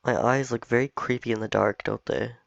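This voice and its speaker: A slightly hoarse man chatting casually as he plays a game, thinking aloud as he goes. His voice has a gravelly edge. The dull, dry home recording carries faint game music underneath. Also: medium pitch, fairly even intonation, fairly quick, dry recording. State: slightly hoarse, recovering from illness. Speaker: gaming video host. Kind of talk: casual let's-play commentary.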